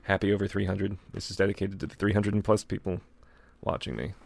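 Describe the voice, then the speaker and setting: A man with a dry, deadpan manner, casually reading fan fiction aloud as a co-host. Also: low voice, quick pace, gravelly. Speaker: co-host and reader.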